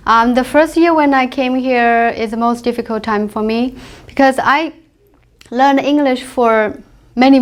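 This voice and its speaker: A woman with a smooth, clear, rather high voice tells her own story in halting English. She often hesitates and restarts her sentences as she looks for words. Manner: hesitant, many fillers and restarts. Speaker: former graduate student. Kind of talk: personal testimony.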